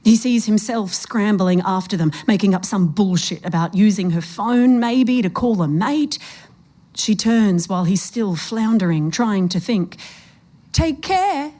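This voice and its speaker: A woman's voice: medium pitch, measured pace, dark tone. A woman narrating a suspenseful short story in a literary reading style.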